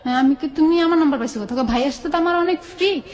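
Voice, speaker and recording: A woman's voice: high-pitched, very fast, a little mellow. A woman with a Bangladeshi accent telling her own life story in a colloquial, conversational way, often quoting dialogue back and forth.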